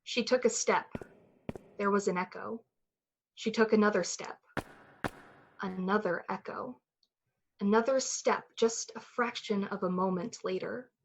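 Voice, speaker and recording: A woman playing the narrator of a suspense radio play, speaking in a measured, dramatic storytelling style with a slightly husky voice. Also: medium pitch, fairly even intonation, a little hiss or hum, poor audio. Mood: tense, hushed.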